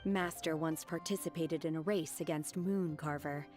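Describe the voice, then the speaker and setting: Calm flat young disciple girl, a woman voicing her, kinda low voice, very literal, light music behind. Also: moderate pace, natural voice. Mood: calm blunt. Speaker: disciple and restaurant worker. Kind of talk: video game voice acting. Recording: game audio with music behind.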